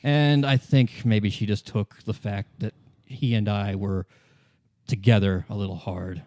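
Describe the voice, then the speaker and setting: A man's voice: on the low side, steady tone, unhurried, a little mellow, no echo, close to an everyday voice. A man voices a weary, guarded woman in a tabletop game. The delivery is hesitant and subdued, reluctant to give much away, recorded close and dry. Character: guarded, weary woman confessing her secrets, smoking.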